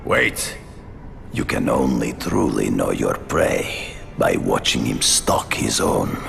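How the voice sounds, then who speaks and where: An actor playing a predatory hunter villain in a video game, deliberate and threatening. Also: male voice, very deep voice, big pitch swings, gravelly, fairly bright tone, soft background music, heavily acted. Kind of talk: dramatic video game acting.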